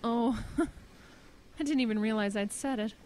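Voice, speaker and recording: A woman's voice: fairly high pitch, unhurried, darkish tone, close to an everyday voice. Actress as thoughtful, haunted monster-attack survivor woman. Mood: wistful. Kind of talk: horror audio drama acting.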